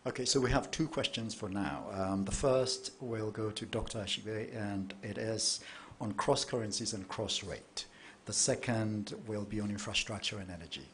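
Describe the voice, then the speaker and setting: A man moderates a conference Q&A with a lowish voice. He talks in a measured way and fills pauses with ums. A faint hiss sits under the room sound.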